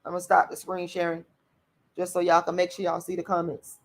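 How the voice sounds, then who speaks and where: A woman's voice: lowish voice, monotone, fairly quick. A woman hosts a livestream, reading viewer comments and answering them bluntly and profanely, repeating herself often. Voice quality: raspy voice. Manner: outspoken.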